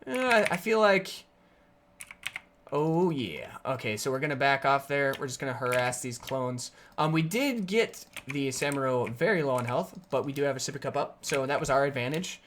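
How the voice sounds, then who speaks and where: Upbeat streamer with a raspy, sore voice. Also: medium pitch, moderate pace, a little hiss or hum. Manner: upbeat, explains plays, with sudden excited outbursts. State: raspy, sore voice from energy drinks. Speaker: game streamer. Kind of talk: live gameplay commentary.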